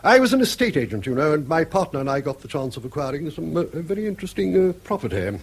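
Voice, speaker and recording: A man's voice: a little high, very animated, fast talker, slight background noise. An actor playing a bankrupt, argumentative old grandfather in a British radio drama, blustering and sardonic as he needles his family. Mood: indignant, self-justifying. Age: older.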